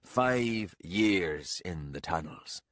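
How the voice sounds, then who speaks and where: A man voicing an old, bent castellan who speaks low, steady and patient. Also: extremely slow, no room sound, studio quality, heavily acted. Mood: quiet.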